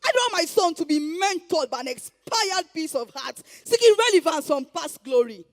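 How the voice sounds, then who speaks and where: A woman playing a proud, sharp-tongued Nigerian mother in a stage play, declaiming with mockery. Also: extremely high pitch, very animated, normal speed. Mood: biting contempt. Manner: declamatory, mocking, proverb-laden. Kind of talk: theatrical stage play acting.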